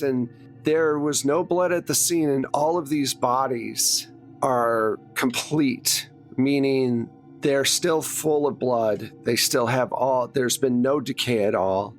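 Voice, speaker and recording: A man playing a puzzled, candid small-town morgue worker in a tabletop game, explaining things casually and haltingly. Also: medium pitch, faint music behind, close to an everyday voice. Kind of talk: improvised tabletop roleplaying dialogue.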